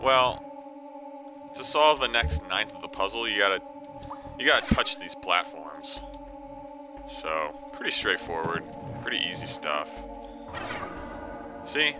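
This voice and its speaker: Congested young man narrating gameplay, low-voiced. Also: expressive, slow pace, long pauses. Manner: joking, self-talking. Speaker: let's play commentator. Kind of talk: casual gameplay commentary. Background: loud game music.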